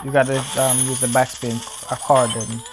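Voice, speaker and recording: A man's voice: medium pitch, level tone. A man originally from Jamaica, talking casually through gameplay tips with frequent ums. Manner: explains slowly with many ums. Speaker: gamer giving tips.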